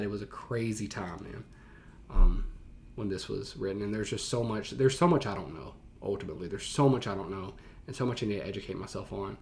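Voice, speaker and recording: A man's voice: lowish voice, slight background noise. American man giving casual, slangy reaction commentary. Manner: colloquial slang, thinking aloud, animated paraphrasing. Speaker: teacher reacting to a historical reading.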